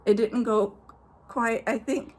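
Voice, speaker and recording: A woman pastor telling children a story and a short devotional outdoors at night, speaking gently and directly to the kids. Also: a little high, fairly even intonation, slow.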